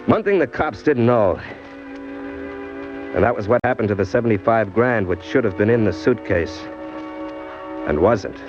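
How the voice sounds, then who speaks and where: A man's voice: on the low side, animated, normal speed, long pauses, natural voice. An American actor playing a condemned small-time crook in a 1940s radio crime drama, telling his story in hard-boiled slang with bitter, wry resignation. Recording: dull old radio recording, loud music behind.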